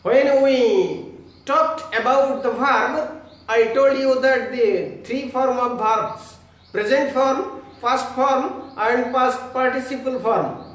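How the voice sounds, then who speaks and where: A man teaching an online grammar lesson in a halting, instructional way, explaining step by step to his students. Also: extremely high pitch, fairly bright tone. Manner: halting, instructional, with repeated check questions. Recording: very echoey room, distant mic.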